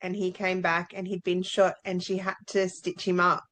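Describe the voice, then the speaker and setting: A woman's voice: on the low side, completely flat delivery, normal speed, fairly bright tone. A woman co-hosting a book club podcast in a casual, conversational style.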